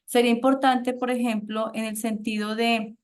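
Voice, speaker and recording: A woman university professor with a Colombian accent, leading a class discussion in an animated way with frequent rhetorical questions. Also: a little high, normal speed.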